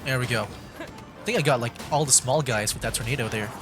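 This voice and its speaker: Casual male gamer over loud music. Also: mid-range voice, quick pace. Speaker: streamer. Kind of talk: casual gaming commentary.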